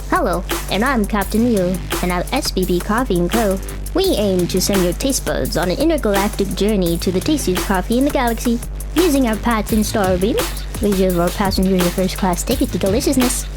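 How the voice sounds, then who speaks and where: A woman plays a female spaceship captain in a radio advert. Her mid-pitched voice is grandiose and promotional, with prominent music playing behind it. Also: close to an everyday voice. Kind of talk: scripted parody radio commercial.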